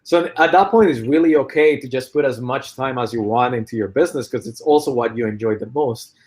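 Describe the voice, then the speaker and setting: A man speaking as a guest on a live talk, reflective and measured. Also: mid-range voice, darkish tone. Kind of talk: interview answers.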